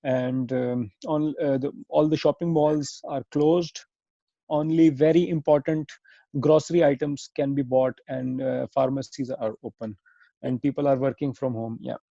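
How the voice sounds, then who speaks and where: Man, thirties, Pakistani accent, hesitant interview answers, dark relaxed tone, dull lo-fi call audio. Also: mid-range voice, vocal fry, no room sound. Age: 30s. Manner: hesitant, halting, self-correcting. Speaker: podcast guest, software engineer. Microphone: remote internet or phone call.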